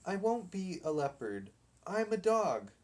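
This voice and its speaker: A man voicing a small, frightened but defiant talking dog in a storybook, excited and protesting. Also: high-pitched, unhurried, voice pushed up.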